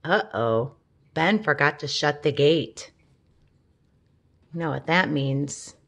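A woman reads a picture book aloud for young children. Her pace is very slow and her pitch sits a little low. She is gentle and often turns from the text to speak straight to her listeners. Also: lively intonation. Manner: gentle, talks to children with questions. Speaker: storybook reader.

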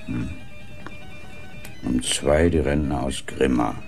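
An actor playing a fussy, pedantic long-serving castle caretaker in a German radio crime drama. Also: male voice, a bass voice, slow, creaky, music in the background, heavily acted. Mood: grumbling.

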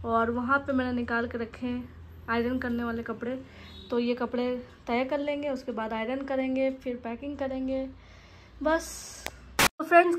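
A woman's voice: on the high side, fairly bright tone, slight background noise. A woman from Bangalore, India, narrating her day in a chatty way and talking straight to her viewers as friends. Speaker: vlogger. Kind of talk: casual vlog narration.

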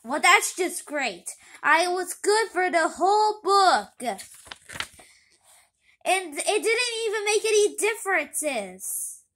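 Grumpy cat father for a cartoon, played by a woman: high, bright, bitter, stretches out complaints. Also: lively intonation, full character voice. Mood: indignant. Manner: complaining, emphatic, drawn-out.

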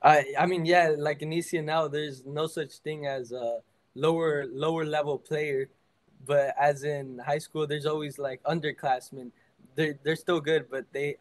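A teenage high school soccer player answering interview questions over a video call, relaxed and full of "like" and "I mean". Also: male voice. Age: teens.

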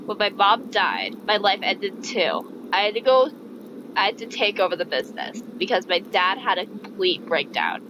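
A woman's voice: mid-range voice, sing-song, normal speed, noisy background. A woman voicing a bitter, weary, resentful young woman in a dramatic reading of video game dialogue. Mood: grieving, bitter.

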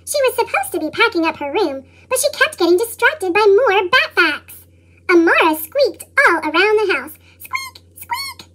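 A woman reading a children's storybook in scripted narration, expressively, through a pitched-up chipmunk voice effect. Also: very animated, moderate pace, fairly bright tone. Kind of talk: read-aloud scripted narration.

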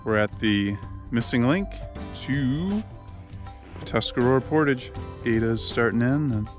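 A man's voice: medium pitch, lively intonation, a little slow, faint music behind. A young man chatting casually as he films himself ice fishing and skiing, narrating as he goes, in an upbeat, chatty way. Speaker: outfitter vlogger. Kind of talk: casual outdoor vlog. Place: ice fishing shelter, then outdoors on a snowy trail. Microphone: action camera held close or body-mounted.

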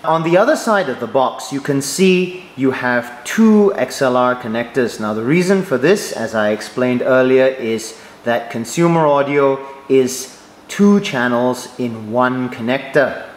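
A man giving a step-by-step tutorial on church sound equipment, explaining clearly and methodically. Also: mid-range voice, expressive, unhurried. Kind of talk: instructional voice-over. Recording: echoey room, faint noise.

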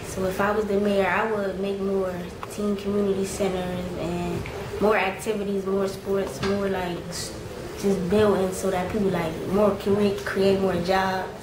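A woman's voice: medium pitch, level tone, normal speed. A young woman speaking plainly to camera about her community. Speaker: youth program member. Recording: echoey room, distant mic, background noise.

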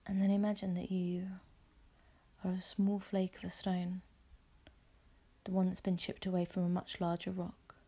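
A woman leading a guided visualisation meditation in a soft, breathy, husky voice, speaking gently and calmly in measured, soothing phrases. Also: lowish voice.

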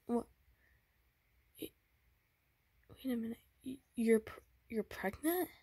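Brief: a woman as a tender young boyfriend, breathy whisper, slow and halting, dry close sound. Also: fairly high pitch, dry recording, pitched above the performer's own voice, heavily acted. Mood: tender reassuring. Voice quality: soft, breathy whisper with nervous stammers. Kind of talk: whispered romance roleplay.

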